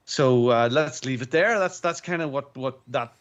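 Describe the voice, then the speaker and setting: A man on a podcast, talking in a casual, rambling way with lots of stops and restarts. His voice sounds chesty from a lingering cough, and his pitch sits at an ordinary middle height. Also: moderate pace, dull audio. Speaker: podcast co-host. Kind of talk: casual chat.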